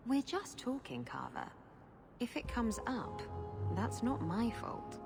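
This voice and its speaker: A woman's voice: a little high, sing-song, takes it slowly, vocal fry, loud music behind the voice, heavily acted. An actress playing a teasing young woman companion.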